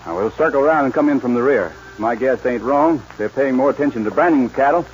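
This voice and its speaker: A man's voice: medium pitch, fast talker, faint music behind, lightly acted. Male actor as young American cowboy hero, bold, folksy, vintage radio western with dull lo-fi sound. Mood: bold, determined. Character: bold, resolute young cowboy ranch hand. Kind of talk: 1940s radio western acting. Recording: muffled, hissy 1940s radio recording.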